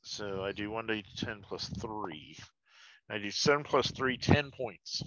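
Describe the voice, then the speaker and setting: A man's voice: on the low side, a little slow, a bit bright, slight background noise. A man chatting casually as a player in a tabletop game, on a dull lo-fi mic.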